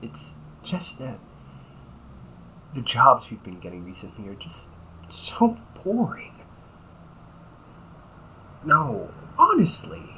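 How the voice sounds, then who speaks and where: Low voice guy acting as a cocky tired immortal vampire bro, grumbly n sarcastic, pitch jumps around, audio rp. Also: pushed and bright, a little hiss or hum, heavily acted, rough recording. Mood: bored, grumbling. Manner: sarcastic, grumbling, often chuckling. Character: cocky, weary, centuries-old male vampire brother, young-sounding. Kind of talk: audio roleplay, conversational one-sided dialogue.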